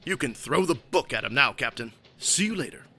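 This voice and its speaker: A man's voice: medium pitch, animated, a little fast, bright, forceful tone, slight background noise. A man playing an earnest, commanding, matter-of-fact caped crime-fighter in a comedic radio play. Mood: satisfied.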